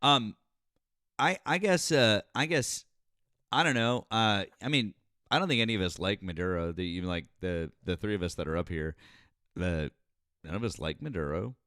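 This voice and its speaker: Deep-voiced hesitant talk show host. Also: male voice, animated, normal speed, bright, forceful tone, dry recording, clean studio sound. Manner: hesitant, filler-heavy, then pointed questions. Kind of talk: live political talk stream.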